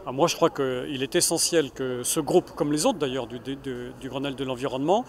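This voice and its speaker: A man's voice: mid-range voice, a little fast, a little hiss or hum. A man giving an earnest, unscripted answer in an interview.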